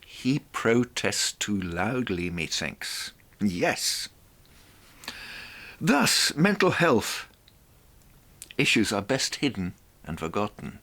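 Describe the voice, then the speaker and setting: A man presenting a reflective monologue in a theatrical, deliberate way, with rhetorical asides. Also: fairly low pitch, big pitch swings, bright, forceful tone.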